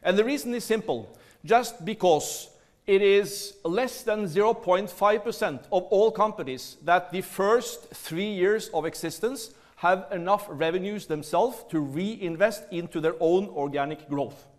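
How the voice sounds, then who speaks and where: A Norwegian man with a Norwegian accent giving a lively, playful business talk to a seminar audience in a large hall. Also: sits high, a little slow. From Norway. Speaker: seminar speaker.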